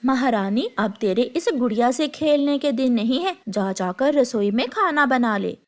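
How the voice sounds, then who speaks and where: Cruel stepmother bird woman performer, cartoon: sarcastic, bossy, high-pitched. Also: lively intonation, unhurried. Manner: scolding, sarcastic.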